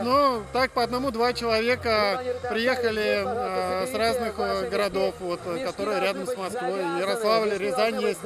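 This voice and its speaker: A middle-aged man, a race organizer, interviewed outdoors at a ski race, talking hesitantly with many pauses. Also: very high voice. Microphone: handheld reporter mic.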